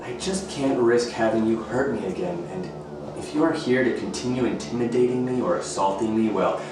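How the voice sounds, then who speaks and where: An actor with an American accent playing a smug, menacing tenant who feigns victimhood with theatrical politeness in a radio thriller. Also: male voice, mid-range voice, normal speed, music in the background, close to an everyday voice. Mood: quietly menacing. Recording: roomy, distant, noisy recording.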